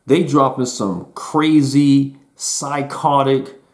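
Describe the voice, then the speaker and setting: A man's voice: medium pitch, steady tone, takes it slowly. A man giving a casual spoken music review in a laid-back, slangy way, peppering his talk with "man" and "um". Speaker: album reviewer.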